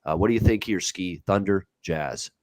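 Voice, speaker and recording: A man's voice: low-pitched, moderate pace, a little mellow, no room sound. A man hosting a sports betting show, giving his opinions in casual, conversational commentary.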